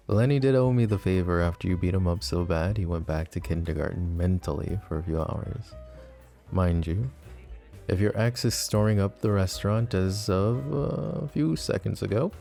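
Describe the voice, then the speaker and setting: Casting: a man to play a scheming, possessive yandere mafia boss for ASMR roleplay; dark, relaxed low voice, smooth and teasing. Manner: smooth, teasing, mock-polite. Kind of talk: intimate ASMR roleplay acting.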